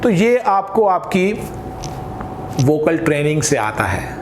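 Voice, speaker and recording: An Indian man giving instructional talk as a voice trainer, speaking in an emphatic, deliberate way and mixing Hindi with some English. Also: big pitch swings. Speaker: voice trainer for radio jockeys. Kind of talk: instructional talk with spoken demonstrations. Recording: echoey room with faint rumbling noise.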